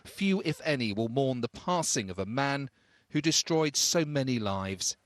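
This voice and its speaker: A man's voice: mid-range voice, expressive, unhurried, no room sound, studio quality. A man reading a scripted news report as a reporter.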